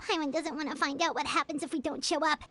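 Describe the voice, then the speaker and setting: An actress voicing a tiny, childlike female companion creature in a video game, squeaky, earnest and anxious. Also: fairly even intonation, quick pace, fairly bright tone, no room sound. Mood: worried. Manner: speaks of herself in third person, earnest.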